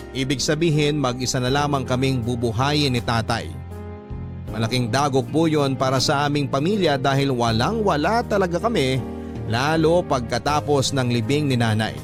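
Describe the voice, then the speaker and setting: A man reading out a life story as a radio host, in dramatic scripted narration. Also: medium pitch. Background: music under the voice.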